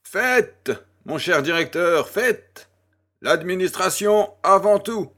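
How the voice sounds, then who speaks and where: Man acting as chatty aristocratic baron, highish voice, unhurried, effusive, pompous, audio drama. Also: expressive, pushed and bright, full character voice. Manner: pompous, effusive, drawn-out courteous phrasing. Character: jovial, chatty French baron, worldly and effusive.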